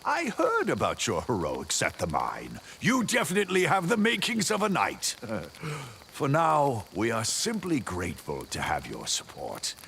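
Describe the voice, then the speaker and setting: An actor voicing a chivalrous, pompous but bumbling good-natured knight in a video game, with florid, theatrical speech and flustered hesitations. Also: male voice, mid-range voice, wildly swooping pitch, a little slow, vocal fry, bright, forceful tone, a little hiss or hum, heavily acted. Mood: hearty, welcoming.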